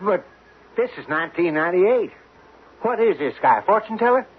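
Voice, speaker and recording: A man's voice: sits high, big pitch swings, moderate pace. A man acting in a 1970s radio drama as a nervous, plain-spoken sidekick with a hesitant, colloquial way of talking. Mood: alarmed. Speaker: actor in a radio play. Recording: tinny, noisy 1970s radio recording.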